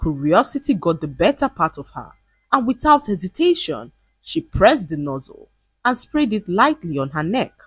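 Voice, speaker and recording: A woman narrating a scripted folktale in an expressive, dramatic storytelling manner. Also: lowish voice, moderate pace, slightly rough, no echo.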